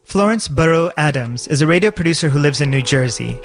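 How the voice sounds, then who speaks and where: A man's voice: on the high side, expressive, fairly quick. A man hosting a podcast, reading a scripted, personal narration. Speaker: podcast host. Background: music.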